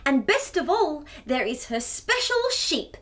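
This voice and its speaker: Animated, high-voiced woman reading to children. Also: unhurried. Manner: animated, exclaiming, asks the young listeners questions. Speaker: children's storytime presenter. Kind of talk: expressive read-aloud storytelling for young children.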